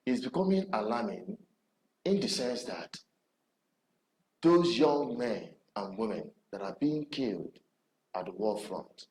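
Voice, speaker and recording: A man with a Nigerian accent hosting an online TV programme, addressing viewers in deliberate, emphatic phrases with dramatic pauses. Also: on the low side, a little mellow. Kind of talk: presenter commentary to camera. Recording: roomy echo with background noise.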